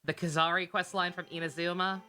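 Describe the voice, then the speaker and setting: A woman's voice: lowish voice, flat delivery, brisk, sharp tone, soft background music. A woman livestreaming a game, chatting casually with viewers in a sweary, wry, sarcastic way. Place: indoor game streaming setup, game music behind.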